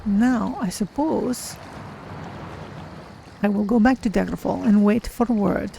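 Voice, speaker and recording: A woman voicing a curious, cautious female Khajiit cat-person adventurer in a measured, formal way for a game roleplay. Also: mid-range voice, creaky, warm tone, a little hiss or hum. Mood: thoughtful. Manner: measured, formal, speaks of self in third person. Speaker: streamer roleplaying a character. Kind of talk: in-character game roleplay narration.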